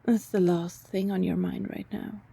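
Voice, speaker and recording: A woman playing a lovelorn, anxious vampire neighbor in an ASMR roleplay, pleading haltingly and often slipping into breathy whispers. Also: on the low side, level tone, warm tone, exaggerated voice. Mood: tender, hesitant.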